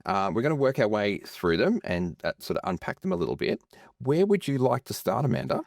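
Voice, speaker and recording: A man's voice: mid-range voice, big pitch swings, a little fast, vocal fry, no echo, clean studio sound. A man hosting a casual interview podcast, enthusiastic and rambling with many fillers. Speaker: podcast host.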